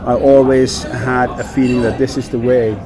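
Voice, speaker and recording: A man's voice: medium pitch, level tone, normal speed, music in the background. A Danish man working as a whisky brand ambassador, speaking English in a casual interview, with many fillers and repeated words. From Denmark.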